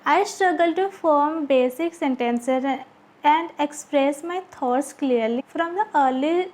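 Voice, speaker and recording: A woman's voice: high-pitched, slow pace, darkish tone. A woman who speaks English as a learned language, giving a scripted monologue as a video creator. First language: not English. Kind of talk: scripted monologue, read aloud.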